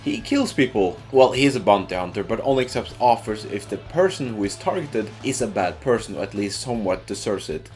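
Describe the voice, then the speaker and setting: A man giving casual, informal commentary as a video game reviewer, ranking things with emphatic opinions. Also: on the low side. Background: background music.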